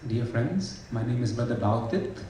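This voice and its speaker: Monk heard from far off in an echoey hall. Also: male voice, mid-range voice, animated, normal speed, dark tone, a little hiss or hum. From India. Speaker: Buddhist monk. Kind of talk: self-introduction to an audience.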